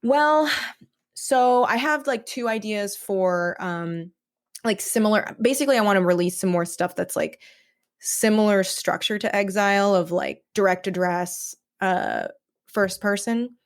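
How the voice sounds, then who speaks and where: A woman talking casually as a podcast guest about her own creative work, in a halting, informal way. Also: mid-range voice. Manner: halting, informal, frequent "like" and "kind of". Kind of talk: casual chat.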